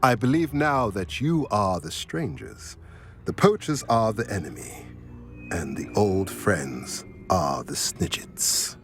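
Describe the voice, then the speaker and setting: An actor playing a wise old male centaur who reads the stars, speaking in a measured, cryptic way in a video game. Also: on the low side, big pitch swings, a bit bright, faint music behind, heavily acted. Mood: solemn. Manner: slow, measured, solemn and riddling.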